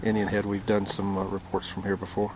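A man with an American accent casually narrating his outing on a tidal creek, halting often with "uh", recorded outdoors on a handheld camera mic. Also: fairly low pitch, background noise. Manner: relaxed, halting, many "uh" fillers.

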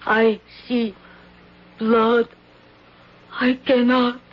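An actress playing a female palace servant and would-be assassin who speaks broken, halting English, in a 1970s radio drama. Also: a little high, level tone. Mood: distraught. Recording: dull lo-fi 1970s radio broadcast.

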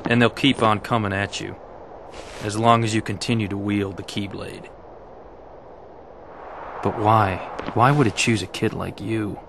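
Low, gravelly brooding swordsman. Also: measured pace, long pauses, background noise. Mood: cold, menacing. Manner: terse, flat and serious. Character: brooding, stern young swordsman, coolly dismissive. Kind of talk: video game cutscene acting.

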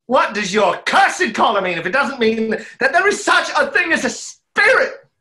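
A man's voice: very high voice, very animated, moderate pace, sharp tone, exaggerated voice. A young man playing a tormented, lovestruck conjurer in a stage play reading, with theatrical, emphatic delivery. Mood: fierce, challenging. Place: small room at home, video call.

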